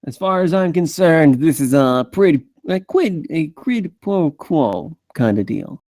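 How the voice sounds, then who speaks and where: A man playing a hardboiled rabbit detective, wry, terse and deadpan, at a script table read. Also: fairly high pitch, measured pace, no room sound, studio quality. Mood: wry, guarded. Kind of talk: table-read character acting.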